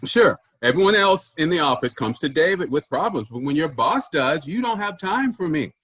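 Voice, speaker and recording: A man's voice: fairly high pitch, sing-song, normal speed, fairly bright tone, breathy edge, exaggerated voice. A man in a staged comedy reading playing a pushy, self-important office boss who preaches efficiency emphatically. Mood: insistent, boastful.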